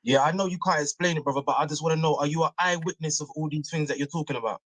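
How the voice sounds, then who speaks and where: A man with a fairly high voice, recorded dry and close. He asks his questions politely but keeps pressing for a straight answer, calling the other man brother. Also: racing, fairly bright tone, dry recording. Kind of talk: religious debate.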